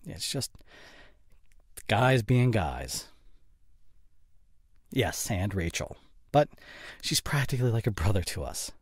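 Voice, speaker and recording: Young male boyfriend played by a male actor for audio roleplay: forgetful, dismissive gamer, slangy and coaxing, unhurried delivery with lively pitch swings. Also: slightly rough, dry recording, studio quality, full character voice. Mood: coaxing, evasive. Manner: casual, slangy, coaxing, drawn-out phrasing.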